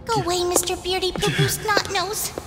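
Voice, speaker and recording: A woman's voice: very high-pitched, level tone, normal speed. A woman playing a bratty, scornful little girl in a radio play, with mocking, childish name-calling. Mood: scornful, hurt. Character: taunting little schoolgirl, bratty and scornful, on a stage. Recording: long hall reverb, music behind.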